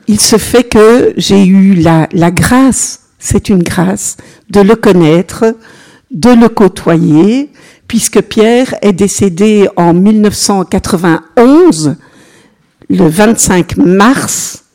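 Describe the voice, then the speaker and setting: Older Belgian nun teaching a group, slow and unhurried, chatty asides. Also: female voice, mid-range voice, mellow. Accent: Belgian French. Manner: chatty, unhurried, peppered with "voilà", engages listeners. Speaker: consecrated religious sister giving a teaching. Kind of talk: informal religious teaching to a group.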